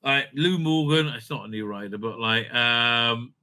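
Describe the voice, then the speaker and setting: A man giving unscripted, profane, opinionated commentary as a video host, stammering and repeating himself in an excitable way. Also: medium pitch, measured pace, smooth.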